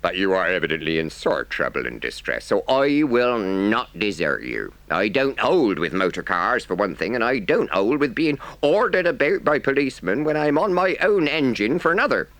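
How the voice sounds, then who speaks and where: A man acts out a storybook engine driver, a working man who is stern yet soft-hearted. His talk is plain, folksy and emphatic, with pitch that swings widely. It sounds close and dry. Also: big pitch swings, normal speed, hardly any pauses, no room sound. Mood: stern, grave, then gruffly sympathetic. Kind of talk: storybook character voice.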